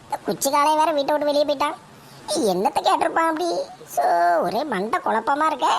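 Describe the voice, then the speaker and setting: A nosy old grandmother with a lilt, fussing and scolding in a fairly high, light voice, as a woman puts her on. She grumbles at everyone in a homemade comedy sketch. A faint hiss sits behind her. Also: lively intonation, quick pace. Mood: grumbling. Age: older.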